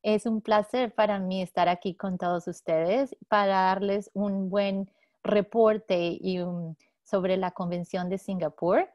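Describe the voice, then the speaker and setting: A woman mediator presenting a webinar lecture, speaking haltingly with many fillers and restarts over a video call. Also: medium pitch, darkish tone, no room sound, studio quality. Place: home office in San Diego.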